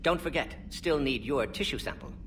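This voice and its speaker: An actor playing a brainy old alien scientist in a video game, brisk and analytical, speaking in clipped, telegraphic fragments. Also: male voice, medium pitch, expressive, vocal fry, a bit bright, far from the mic, slight background noise. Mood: firm, brisk.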